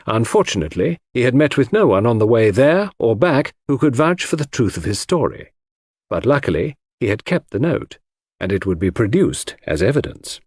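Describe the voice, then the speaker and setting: A man reading classic crime fiction as a scripted audiobook, in formal, measured storytelling. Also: mid-range voice, sing-song, a little mellow, husky, dry recording, studio quality. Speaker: audiobook narrator.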